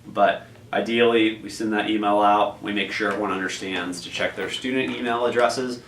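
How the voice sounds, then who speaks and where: A man's voice: on the low side, steady tone, moderate pace, a bit bright. A man coordinating a tutoring program, explaining the process in a rambling way with many fillers and restarts. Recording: echoey room, distant mic, faint noise.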